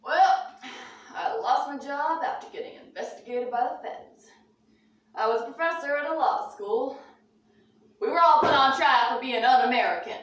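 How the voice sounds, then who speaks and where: Woman putting on an aggrieved, high-pitched male professor. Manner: emphatic, wounded, dramatic. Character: aggrieved, melodramatic man, blacklisted law professor. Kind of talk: mock news interview skit. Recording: roomy echo, distant mic, faint noise.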